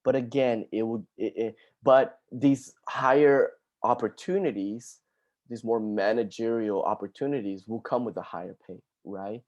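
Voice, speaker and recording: A man's voice: fairly low pitch, moderate pace, a little mellow, muffled recording. A man from Brazil, an occupational therapist, chatting casually on a video call to answer student questions, with lots of "dude" and "like".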